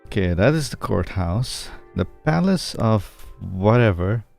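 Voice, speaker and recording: A man's voice: on the low side, lively intonation, unhurried, vocal fry, darkish tone, soft background music. A man giving casual gameplay commentary, thinking aloud in a loose, rambling way as he streams. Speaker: game streamer.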